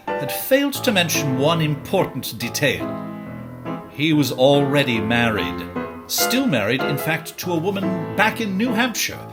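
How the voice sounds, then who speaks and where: A woman playing a wry, knowing storyteller, narrating a stage musical with arch dramatic pauses over music. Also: a bass voice, exaggerated voice. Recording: music under the voice.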